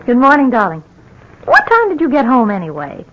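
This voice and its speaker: An actress in an old-time radio drama playing a loving, fragile young wife, tender and solicitous. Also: female voice, on the high side, wildly swooping pitch, brisk, lo-fi sound, slight background noise. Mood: cheerful.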